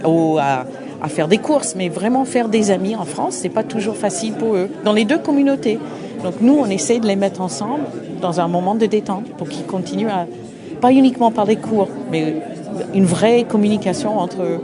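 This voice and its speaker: A middle-aged woman, an English speaker, answering interview questions at an open day, halting and searching for words with frequent restarts. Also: lowish voice. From United States. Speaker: association representative. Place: busy open-day event. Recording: crowd noise behind. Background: crowd chatter.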